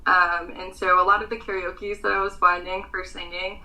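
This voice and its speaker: Bright creaky-voiced young American woman. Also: on the low side, flat delivery, moderate pace, poor audio. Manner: earnest, frequent ums. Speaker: pageant contestant guest. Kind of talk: casual interview answers.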